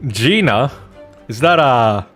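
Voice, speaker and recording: A man's voice: medium pitch, expressive, slow pace, a bit bright, faint music behind. A man giving casual gameplay commentary as a streamer, slangy and profane in a bantering way. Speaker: game streamer and voice actor.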